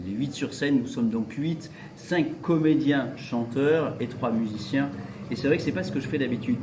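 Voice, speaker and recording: A man, an opera singer acting in a comedy, speaking in an interview with halting repetitions. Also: medium pitch, darkish tone, reverberant, slight background noise. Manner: halting, repeats words, good-humored. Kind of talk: festival interview, casual chat.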